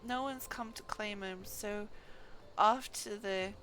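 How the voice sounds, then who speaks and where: A woman's voice: mid-range voice, slow, a bit bright, soft background music. A woman voicing a grumbling fantasy game shopkeeper, with rustic, colloquial speech and dropped consonants. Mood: wary, businesslike.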